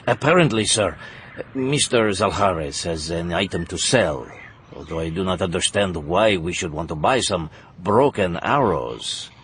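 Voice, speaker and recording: Low-voiced, deferential military aide. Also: male voice, lively intonation, measured pace, fairly bright tone, slight background noise. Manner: deferential, formal. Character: dutiful male aide to a South American dictator. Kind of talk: dramatised audiobook acting.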